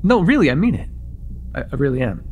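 A man's voice: medium pitch, big pitch swings, a little fast, a little mellow, breathy edge, highs cut off, faint music behind. A man playing an eager, awkward young vampire in an intimate ASMR roleplay, rambling nervously and stumbling over his words. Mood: insistent, earnest. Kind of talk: intimate ASMR roleplay, one-sided conversation.

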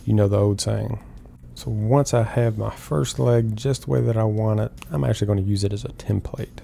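Low, gravelly man explaining woodworking. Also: moderate pace, slight background noise. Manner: calm, step-by-step explaining. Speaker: woodworking host. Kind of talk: instructional voice-over.

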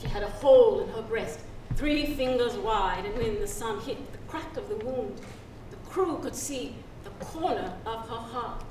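Actress as a slow, solemn free woman storyteller. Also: on the high side, lightly acted. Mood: solemn, tender. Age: middle-aged. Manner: measured, halting, deliberate storytelling. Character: free young woman solemnly recounting her seafaring birth. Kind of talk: dramatic stage reading, solo storytelling monologue. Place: theatre stage. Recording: roomy stage pickup, distant, hissy ambience.

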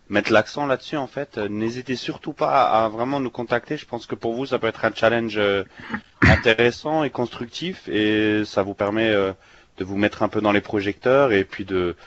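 Man, slightly low voice, casual co-host chat, muffled lo-fi online meeting audio. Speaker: user group co-host. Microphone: online meeting microphone. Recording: dull, lo-fi online call audio.

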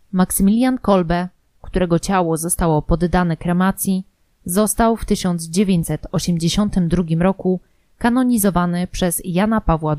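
A woman reading scripted documentary narration in a measured, formal manner. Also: on the low side, dark tone, no echo.

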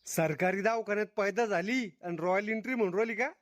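A man's voice: sits high, a little fast, slight background noise, lightly acted. A man voicing a grumpy, blunt old village grandfather in a comic cartoon, with a Vidarbha Marathi accent. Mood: grumpy, scolding. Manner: blunt, disapproving, insulting.

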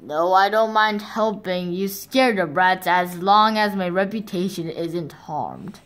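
Woman doing a gruff, scheming wolf. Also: fairly low pitch, slow pace, fairly bright tone, pitched below the performer's own voice. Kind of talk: storybook read-aloud.

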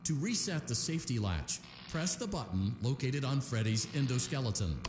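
A man playing a friendly corporate training voice for a video game, giving calm, upbeat step-by-step instructions. His pitch rises and falls in a lively sing-song way. He sounds like he is in an echoey hall, with loud game music behind him. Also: moderate pace, natural voice. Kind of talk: scripted game voice-over instructions.

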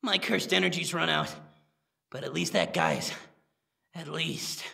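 A man playing a proud, battle-hungry sorcerer in an anime dub style, intense and disdainful, with a gravelly, creaky voice. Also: a little high, measured pace, pushed and bright, heavily acted. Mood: spent. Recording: dramatic music behind, somewhat distant voice.

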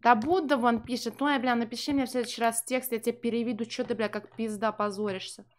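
A woman's voice: a little high, fairly even intonation, fast talker, slight background noise. Sardonic young woman streamer. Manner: profane, sardonic, chatting to viewers. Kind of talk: casual live game commentary with translation.

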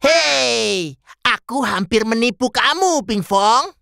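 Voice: medium pitch, expressive, slow pace, vocal fry, bright, forceful tone, no room sound, clean studio sound, full character voice. An actor playing a mischievous trickster starfish in a children's cartoon. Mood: teasing. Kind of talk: children's cartoon character acting.

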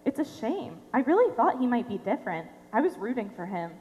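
A woman's voice: on the high side, big pitch swings, fairly quick. A young woman on stage playing a casual, gossipy, slightly wistful passerby. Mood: chatty. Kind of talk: high school stage play acting. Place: theater stage, filmed from the audience. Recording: echoey theater stage, faint hum.

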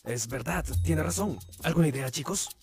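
A man's voice: on the high side, lively intonation, moderate pace, a little mellow. Man as a commanding robot team leader over music. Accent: Latin American Spanish. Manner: organized, commanding. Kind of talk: animated fandub.

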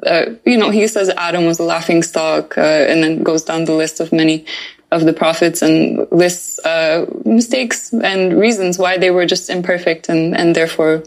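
A woman with a fairly low voice speaks earnestly in a podcast chat about religion. She stresses her points and repeats words for emphasis. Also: fairly even intonation, normal speed. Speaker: podcast co-host. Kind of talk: casual religious discussion.

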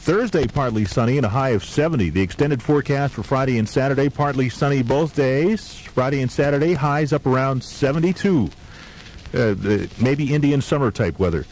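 A man's voice: medium pitch, animated, a little fast, darkish tone, a little hiss or hum, poor audio. A man working as a radio DJ in a casual, self-deprecating way, chatting between songs and weather on a 1980s radio tape. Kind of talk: easygoing radio DJ patter and weather.

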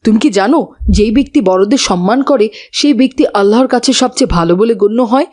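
A woman's voice: a little high, brisk, a little mellow, close to an everyday voice. A woman voicing a polite, principled child who moralises earnestly in a cartoon.

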